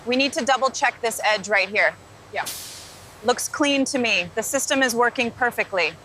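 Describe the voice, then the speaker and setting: A woman's voice: fairly high pitch, normal speed, lightly acted. A woman playing a diligent factory inspector reporting checks in scripted workplace dialogue, with factory noise behind her. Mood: businesslike. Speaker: factory worker.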